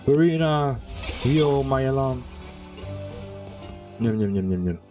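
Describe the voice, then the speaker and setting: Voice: mid-range voice, expressive, slow. A streamer talks through a game casually. The delivery is informal and rambling, with some music behind the voice. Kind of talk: casual gameplay commentary.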